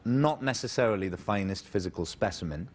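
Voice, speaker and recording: A man's voice: on the low side, expressive, brisk. A man with a Welsh accent, a guest on a late-night talk show, telling self-mocking stories and slipping into silly voices. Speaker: guest actor.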